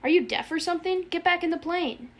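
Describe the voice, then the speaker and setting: A woman's voice: extremely high pitch, fast talker, a bit bright, voice pushed up. A woman voicing a hysterical, terrified male bombardier in an audiobook, screaming frantic commands and pleas. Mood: frustrated shrieking.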